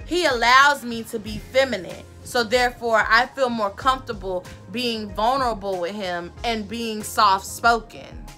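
A woman, giving sassy, emphatic advice to camera in her bedroom, repeating her points, with a loud voice that carries. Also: fairly high pitch, unhurried, hardly any pauses, faint music behind. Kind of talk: casual advice talk to camera. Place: bedroom, indoors.

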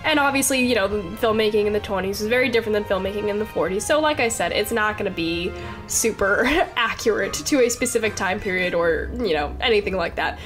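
A woman's voice: medium pitch, animated, a little fast, fairly bright tone. Young woman, stuffy nose from a cold, chatty and rambling, giggly, faint music underneath. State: congested with a cold. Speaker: Sims speed-build creator. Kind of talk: casual voice-over narration of a game build.

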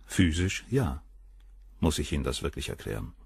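Radio crime drama: a condescending doctor, very low and husky, lively intonation, dry recording. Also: male voice, normal speed, dry recording, exaggerated voice. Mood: cold. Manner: dismissive, clinical, condescending. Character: cold cynical male doctor, middle-aged suspect. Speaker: doctor questioned by the inspector. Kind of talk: radio play acting.

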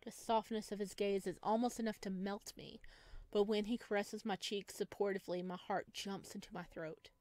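A woman's voice: medium pitch, fairly even intonation, moderate pace, darkish tone, no room sound. A woman streamer reading a visual novel aloud expressively and dramatically, adding her own commentary, close to the microphone.

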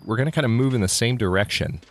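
A man's voice: mid-range voice, fast talker. A man casually narrating his own gameplay as a let's play host, thinking aloud with restarts, sounding slightly congested.

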